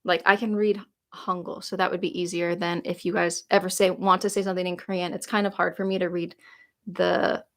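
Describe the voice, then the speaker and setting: A woman's voice: lowish voice, little pitch movement, brisk, a bit bright. A woman in her late twenties answering viewer comments on a live stream, chatty and rambling, with lots of "like" fillers and easy laughter. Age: 20s. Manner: laughs easily while reading comments aloud. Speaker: YouTuber answering viewer questions. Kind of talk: casual live-stream Q&A chat.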